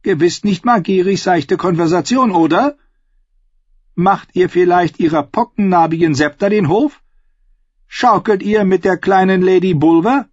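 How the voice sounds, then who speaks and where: A man's voice: sits high, unhurried, no echo, muffled recording, pitched above the performer's own voice. A man voicing a scornful, cold queen. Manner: sharp, interrogating, contemptuous.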